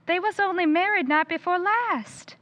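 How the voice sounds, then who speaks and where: An actress playing a gossipy, folksy small-town shopkeeper in an old-time radio drama, with rural-sounding speech. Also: female voice, extremely high pitch, moderate pace, fairly bright tone. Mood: nosy. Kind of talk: 1940s radio acting.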